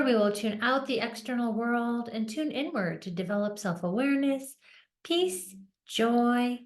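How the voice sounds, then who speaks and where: A woman hosting a guided meditation, speaking in deliberate short phrases with pauses between them, as scripted soothing narration. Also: on the high side.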